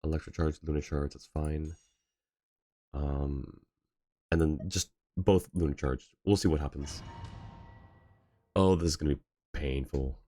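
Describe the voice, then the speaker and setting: Deep-voiced, husky man streaming games. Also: fairly even intonation, unhurried, long pauses, dark tone, no echo. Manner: hesitant, thinking aloud. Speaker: game livestreamer. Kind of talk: casual gameplay commentary. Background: game music.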